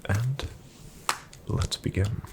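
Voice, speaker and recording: A man playing a courteous, composed casino dealer in a soft-spoken ASMR roleplay, with formal phrasing and a breathy near-whisper. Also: lowish voice, very noisy, exaggerated voice. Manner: formal, polite, measured phrasing.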